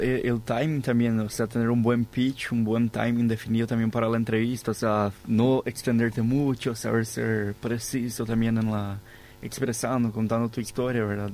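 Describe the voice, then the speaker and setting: Even-toned man hosting a podcast. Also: mid-range voice, a little hiss or hum. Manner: frequent "¿verdad?" tags. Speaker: podcast host. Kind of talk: conversational interviewing.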